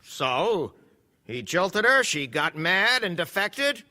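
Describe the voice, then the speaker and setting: Wry male reporter, radio drama, high register, extremely bright edge. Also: big pitch swings, slow pace, exaggerated voice. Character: wry, gossipy newspaper reporter. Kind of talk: live radio play acting.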